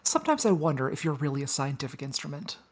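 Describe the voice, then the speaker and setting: A woman plays a space probe who acts like an older sister. The voice sits low for a woman. The delivery is casual, teasing and sardonic, in the style of a science fiction table read. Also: sing-song, quick pace. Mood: teasing, exasperated. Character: wry, breezy big-sister space probe, female.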